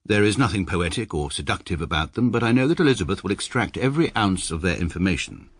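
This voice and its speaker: A pompous dry English barrister. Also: male voice, sits low, quick pace, breathy edge, no echo, exaggerated voice. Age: 30s. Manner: dry, self-satisfied, deadpan. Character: pompous precise male barrister, thirty-three, dry. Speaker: narrator and lead. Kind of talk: radio drama first-person narration.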